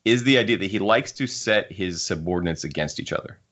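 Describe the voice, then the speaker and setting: A man's voice: low voice, lively intonation, fairly quick, fairly bright tone. A man co-hosting a casual podcast panel, talking things through at length in an analytical, discursive way. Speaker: podcast co-host. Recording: dull, muffled lo-fi audio.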